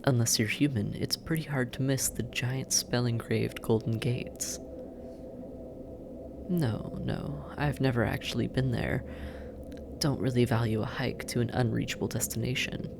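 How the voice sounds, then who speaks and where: A sardonic demon captor, played by an actor in an audio drama, drawling slowly with a gravelly fry. The teasing, intimate delivery slips into breathy murmurs and long pauses, over clearly audible background noise. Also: mid-range voice, fairly even intonation. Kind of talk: scripted roleplay audio drama, intimate second-person address.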